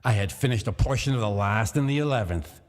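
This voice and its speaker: A man reading a gothic horror story aloud in a slow, deliberate, theatrical manner, heard in a long echoing hall. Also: fairly low pitch, lively intonation. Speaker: reader of a gothic tale. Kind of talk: dramatic literary narration.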